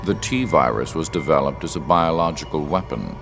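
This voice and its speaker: An actor playing a cold, scheming male villain who narrates a game cutscene in a slow, grave, measured way. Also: extremely low pitch, creaky, music in the background.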